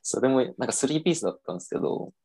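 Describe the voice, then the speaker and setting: A man's voice: mid-range voice, expressive, very fast, darkish tone. A young man casually telling a self-mocking story on a podcast, with lots of fillers.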